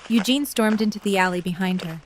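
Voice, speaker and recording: A woman's voice: medium pitch, fairly quick. A woman reading scripted narration for a dramatic audio story.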